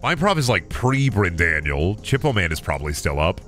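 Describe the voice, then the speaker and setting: Low, bright-edged male streamer, sick voice near cracking, rambling, self-deprecating, headset at home. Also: big pitch swings, normal speed, soft background music. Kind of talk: casual gameplay stream chat. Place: home room at a desk.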